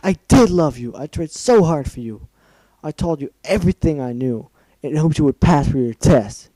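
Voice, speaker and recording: A man's voice: mid-range voice, normal speed, warm tone, no room sound, lightly acted, poor audio. A man voicing a cruel, bitter female pegasus villain who screams accusations in a fan story reading. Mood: furious.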